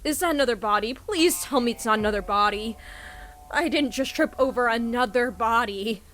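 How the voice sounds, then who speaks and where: A higher-pitched, chatty woman's voice from an actress playing an anxious searcher in an audio drama. Faint music runs beneath her lines. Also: moderate pace, a bit bright, lightly acted. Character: nervous woman on a search team.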